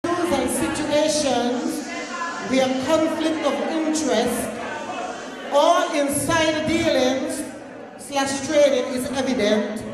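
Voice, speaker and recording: A woman putting formal, measured questions to a minister from the floor of a public meeting, speaking into a handheld microphone. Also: high voice. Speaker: audience member asking questions. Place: large public meeting hall. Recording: echoey hall with long reverb. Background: audience crowd.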